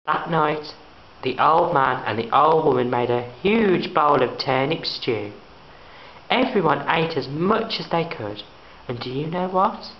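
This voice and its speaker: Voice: medium pitch, lively intonation, a little slow. A young adult student reading a children's story aloud. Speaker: student reader. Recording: echoey, muffled room, faint background noise.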